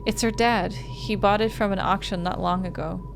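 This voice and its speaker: A woman's voice: medium pitch, fairly even intonation, normal speed, gravelly, music in the background, natural voice. A woman voicing a distressed, protective big sister, the emotional heroine of a visual novel. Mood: puzzled, defensive. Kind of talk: visual novel dialogue read aloud.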